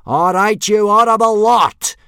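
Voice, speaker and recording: A man voicing a loud, ill-tempered, pompous Viking warrior instructor barking commands in a children's storybook. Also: high-pitched, measured pace, vocal fry, smooth, no echo, clean studio sound, voice pushed up, exaggerated voice. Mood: bellowing, menacing. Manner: bellowing, blustering, pompous commands.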